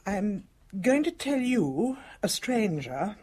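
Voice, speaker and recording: An older woman playing a dying British matriarch in a radio drama, speaking in a measured, formal, guarded way. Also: medium pitch, sing-song, warm tone, breathy edge, exaggerated voice. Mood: grave, confiding. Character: composed, dying elderly matriarch with secrets.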